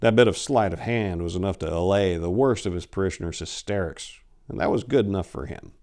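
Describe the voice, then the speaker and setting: A man in his forties giving a theatrical, expressive dramatic reading of horror fiction. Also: sits low, fairly quick. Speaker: storyteller. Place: small indoor room lined with bookshelves. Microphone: lapel.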